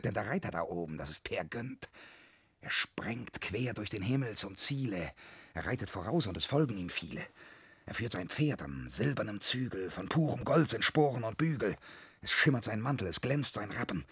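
Radio-play peasant lad, young, dreamy and boastful, husky rough voice, sweeping pitch, declaiming restlessly. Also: male voice, darkish tone, dry recording, studio quality, heavily acted. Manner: declamatory, swinging from brooding murmur to exuberant cries. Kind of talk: theatrical radio drama acting in verse.

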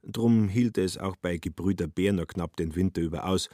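A man's voice: low-pitched, moderate pace, dark tone, no echo. A man narrating a literary radio play with dry, ironic storytelling.

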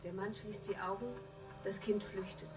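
An actress playing a television newsreader in a radio drama, formal and factual. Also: female voice, medium pitch, little pitch movement, moderate pace, over music, natural voice. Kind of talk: acted news bulletin in a radio drama.

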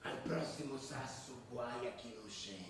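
An actor playing a menacing, scornful hooligan in a radio drama, gruff and terse. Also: male voice, mid-range voice, measured pace, natural voice. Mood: threatening. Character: menacing, scornful male hooligan enforcing the gang's rules. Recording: distant, echoey room with faint noise.

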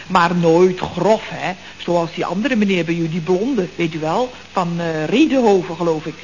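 An actress playing a gossipy, flustered office secretary in a 1960s radio comedy, talking in a chatty, giggly, breathless way. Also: female voice, fairly low pitch, moderate pace, a little mellow, lightly acted. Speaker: office secretary at a building firm. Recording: dull old radio recording, faint hiss.

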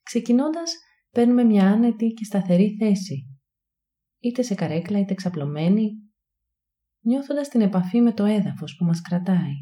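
A woman guides a mindfulness meditation. Her voice is very mellow and dark, at a medium pitch for a woman. She speaks in a calm, hushed, soothing manner and leaves long pauses between phrases. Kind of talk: guided body scan meditation.

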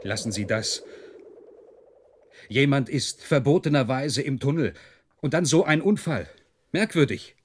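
A man's voice: mid-range voice, lively intonation, measured pace, pauses often, soft background music. An actor playing a dogged, irritable male investigator who questions a suspect insistently in a German science-fiction radio drama. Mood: suspicious, exasperated.

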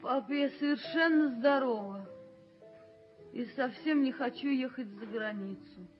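High-voiced, willful young daughter. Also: female voice, unhurried, soft background music. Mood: insistent, probing. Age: young adult. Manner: emotional, insistent, coaxing. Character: willful, proud young daughter of a wealthy father. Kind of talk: radio play stage acting. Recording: muffled 1970s radio drama recording.

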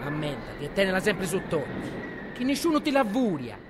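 Aeolus, the wind god. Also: male voice, high voice, sing-song, moderate pace. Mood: warning. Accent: Gallurese Sardinian. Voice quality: lilting, solemn, warning. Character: Aeolus, old god of the winds. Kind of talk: audiobook drama acting.

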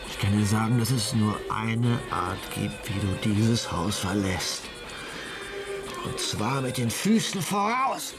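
An actor playing a domineering, menacing band leader and boss in a radio play, gruff and bossy. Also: male voice, fairly low pitch, sing-song, slow, heavily acted. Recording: music behind the voice.

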